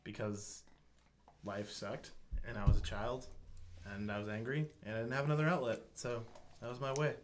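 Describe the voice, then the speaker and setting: A man with a low voice, a band vocalist guest talking bluntly and swearing casually. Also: steady tone, normal speed, slight background noise. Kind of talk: casual interview chat.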